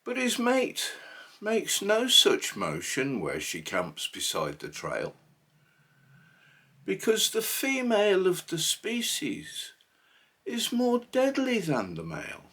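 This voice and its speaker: A man reciting poetry in a theatrical, emphatic manner, phrasing deliberately, with a husky voice. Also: high-pitched, wildly swooping pitch, bright, forceful tone. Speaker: poetry reader.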